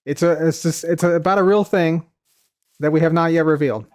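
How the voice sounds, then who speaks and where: Guy streaming, slightly high voice, kinda tired, rambles and repeats stuff, trails off quiet at the end. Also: steady tone, a little fast. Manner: rambling, repeats phrases, many fillers; trails off quietly at the ends of phrases. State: voice a little tired from heavy use. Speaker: streamer answering chat. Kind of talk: casual live-stream chat.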